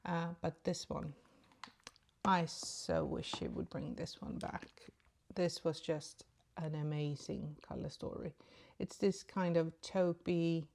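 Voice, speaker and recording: Lady rambling thru her makeup, lots of ums, lowish voice, sleepy morning vibe. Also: animated, slow pace, darkish tone. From Sweden. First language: Swedish. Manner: rambling and chatty, many ums. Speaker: beauty vlogger. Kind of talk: casual makeup tutorial chat.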